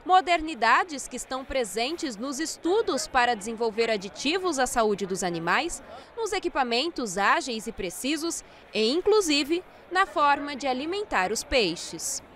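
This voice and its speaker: A woman TV reporter with a Brazilian accent, narrating a news report in a measured, clear broadcast manner. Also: high-pitched, very animated, a bit bright. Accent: Brazilian Portuguese. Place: indoor trade fair hall. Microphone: handheld microphone.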